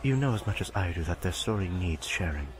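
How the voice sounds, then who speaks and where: A man's voice: deep voice, animated, a little fast, low-quality recording. A man playing an earnest, loyal friend in a fantasy audio drama, speaking in a sombre way.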